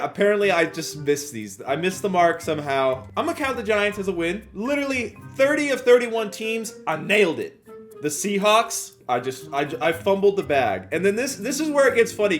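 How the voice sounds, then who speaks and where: A man's voice: high-pitched, big pitch swings, moderate pace. An American man in his twenties telling a story in a casual vlog, with slangy, self-deprecating phrasing. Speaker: YouTuber and storyteller. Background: background music.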